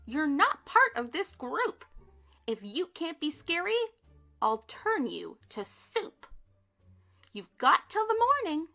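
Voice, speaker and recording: Woman doing a shrill bossy witch. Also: sing-song, slow, vocal fry, bright, forceful tone, soft background music, heavily acted. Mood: stern. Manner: scolding and shrill. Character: bossy, snappish female witch. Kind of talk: storybook read-aloud.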